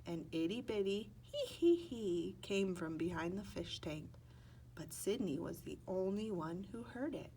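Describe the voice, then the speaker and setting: A woman's voice: medium pitch, lively intonation, a little slow, dark tone, slight background noise, poor audio. A woman narrating a children's picture book expressively, stretching words and acting out sound effects. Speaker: storybook reader.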